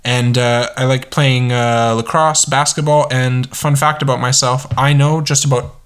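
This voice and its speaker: A man's voice: mid-range voice, steady tone, normal speed, fairly bright tone, clean tone. A man in his twenties co-hosting a casual home podcast, trading dry jokes and quips. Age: 20s. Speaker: podcast co-host. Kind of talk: casual chat. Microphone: single desk microphone.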